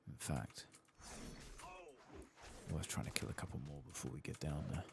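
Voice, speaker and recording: Very deep, gravelly soft-spoken man. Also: fairly even intonation, moderate pace, noisy background. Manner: muttered, absent-minded thinking aloud, casual swearing. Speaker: host of a gameplay channel. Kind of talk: soft-spoken ASMR gameplay commentary.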